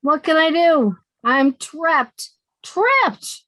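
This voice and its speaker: A woman's voice: sits high, big pitch swings, extremely slow, a bit bright, no room sound, full character voice. Woman playing a dry, wry young roommate. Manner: dry, sardonic narration. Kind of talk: 1950s radio comedy re-enactment.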